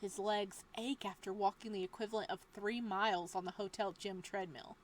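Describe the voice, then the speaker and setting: A woman reading a visual novel aloud as a let's play host, moving between dramatic narration and casual, expressive reactions. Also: mid-range voice, a little hiss or hum. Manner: expressive, exclamatory asides with laughter between measured reading.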